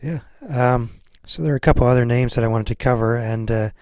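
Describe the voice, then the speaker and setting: A man's voice: medium pitch, steady tone, fairly quick, darkish tone, dry recording. Male podcast host, close dry sound. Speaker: podcast host interviewing a guest. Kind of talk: casual interview chat.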